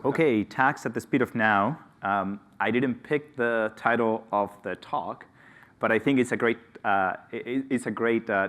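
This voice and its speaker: A man from Spain presents at a live conference, his Spanish accent plain in every line. His voice sits on the low side, and his delivery is loose and chatty, with self-deprecating asides, fillers and restarts. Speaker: conference speaker. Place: conference hall.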